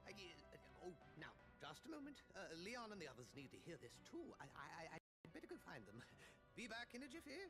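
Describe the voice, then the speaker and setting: Flustered old wizard, high animated pitch, stammering, bumbling, video game character, music behind. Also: male voice, fairly quick. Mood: flustered, hurried. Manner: stammering, bumbling, old-fashioned turns of phrase.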